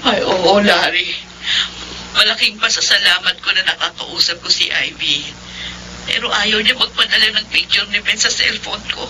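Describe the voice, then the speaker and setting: A woman's voice: mid-range voice, normal speed, sharp tone, slight background noise, poor audio. An actress playing an anxious, emotional middle-aged mother pleading for her son in an audio melodrama. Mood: subdued worry. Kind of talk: melodramatic audio drama acting.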